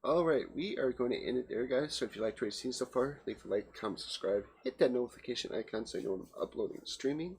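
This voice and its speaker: A man's voice: mid-range voice, lively intonation, fast, hardly any pauses, slightly rough. A man hosting a let's play, reading story narration aloud with some dramatic emphasis and casual commentary. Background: loud game music.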